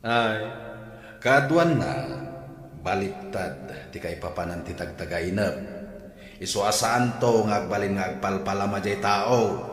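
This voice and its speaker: An actor playing a stern middle-aged Filipino husband and father who is exasperated and quick to anger, in an Ilocano audio drama. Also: on the low side, natural voice. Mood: conversational. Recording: echoey hall reverb, music bed.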